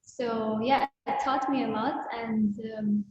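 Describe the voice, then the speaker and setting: A woman's voice: a little high, steady tone, takes it slowly. A young woman giving thoughtful, somewhat hesitant answers as a guest artist in a conversational online talk. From born in Ukraine, with a Kuwaiti side. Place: indoor room, on a virtual talk. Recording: boxy echoing room, muffled online call, faint noise.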